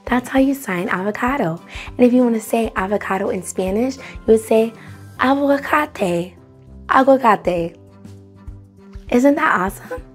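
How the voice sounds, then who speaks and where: A woman's voice: on the high side, expressive, unhurried, faint music behind. A young woman presenting a children's read-along, encouraging and exclamatory, talking directly to her young audience. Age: young adult. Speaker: children's story time host.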